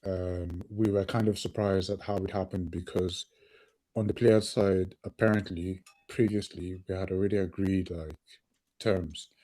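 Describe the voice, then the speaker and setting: A man's voice: low-pitched, fairly even intonation, measured pace, warm tone. A man co-hosting a football podcast, sniffly from hay fever, passionate and often repeating words as he thinks. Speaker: podcast co-host. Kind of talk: casual football podcast chat.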